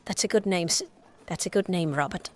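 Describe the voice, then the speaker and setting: A woman's voice: fairly low pitch, fairly quick, darkish tone, lightly acted. An actress playing a chatty, streetwise Victorian East End woman who is eager to please, speaking colloquially in an audio drama. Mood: coaxing, chatty. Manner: colloquial, coaxing working-class speech.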